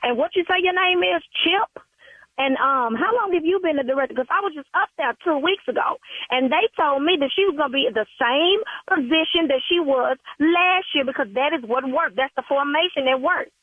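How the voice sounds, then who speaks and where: A woman's voice: high-pitched, a little fast, dry recording. A middle-aged woman talking spontaneously on a phone call in a blunt, direct, colloquial way. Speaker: phone call recipient, a parent. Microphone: telephone. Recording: tinny phone line.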